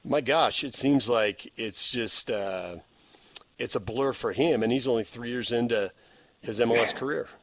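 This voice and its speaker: A man's voice: mid-range voice, a little slow, a bit bright, dry recording. A man hosting a sports radio show, building long interview questions out of short, punchy phrases.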